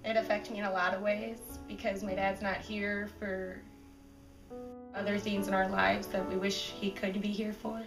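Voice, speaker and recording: Woman, 20s, soft earnest interview, level voice, distant roomy mic, faint music. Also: medium pitch, level tone, normal speed. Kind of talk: documentary interview.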